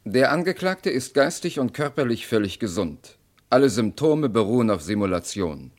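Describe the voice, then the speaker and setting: An actor playing an urgent announcer of verdicts and headlines in a 1960s radio play, clipped and declamatory. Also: male voice, medium pitch, sing-song, normal speed. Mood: urgent, official. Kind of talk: 1960s radio drama acting.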